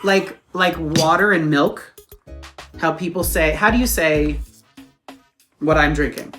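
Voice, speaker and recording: A man's voice: a little high, slow, pushed and bright, soft background music. A man whose first language is Spanish, chatting curiously and mocking himself during a shared meal. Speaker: guest friend. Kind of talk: casual mukbang chat. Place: indoors at a meal table.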